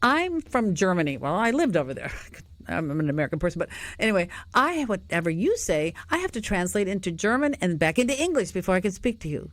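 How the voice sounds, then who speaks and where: A middle-aged woman hosting a radio talk show, chatty and animated, telling stories with frequent laughs. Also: mid-range voice, a little fast, no room sound. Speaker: radio host.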